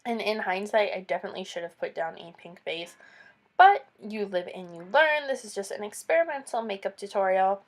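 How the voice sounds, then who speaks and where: A woman's voice: medium pitch, a little fast. A young woman with a stuffy, nasal voice from a cold, chatting through a makeup tutorial voice-over as a beauty vlogger. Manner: chatty, explains each step with casual asides.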